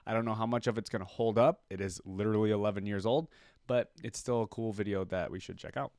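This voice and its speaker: A man's voice: on the low side, fairly even intonation, fast, a little mellow, clear, resonant tone, studio quality. A man doing casual reaction commentary as a host, thinking aloud and echoing what he hears.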